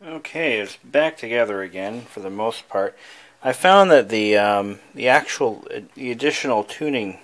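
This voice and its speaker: A man giving a casual, unscripted show-and-tell as a hobbyist, thinking aloud in a musing, halting way. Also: medium pitch, lively intonation, fairly bright tone. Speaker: hobbyist showing an old device he is restoring.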